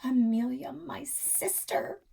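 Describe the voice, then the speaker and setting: A woman's voice: sits high, animated, slow pace, creaky, warm tone, far from the mic, slight background noise, voice pushed up, full character voice. A woman voicing an upset young sister in a storybook, sulky and plaintive. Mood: pleading.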